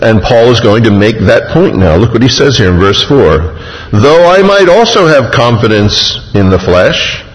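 A man preaching a sermon, emphatic, retelling scripture in a vivid first person. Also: medium pitch. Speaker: pastor. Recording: long hall reverb.

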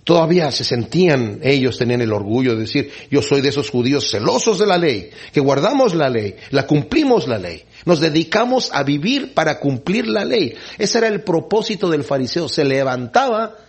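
A man's voice: big pitch swings, normal speed, hardly any pauses, husky. A man preaching a sermon, explaining scripture and briefly acting out the people he quotes. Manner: explanatory, acts out quoted speakers with pitch swings.

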